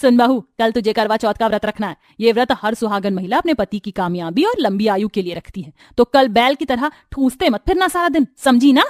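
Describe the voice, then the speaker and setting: Woman acting a scolding, bossy mother-in-law, high voice. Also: fairly quick, few pauses, no room sound, clean studio sound, close to an everyday voice. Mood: bossy, sarcastic. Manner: sarcastic, domineering, sharp-tongued.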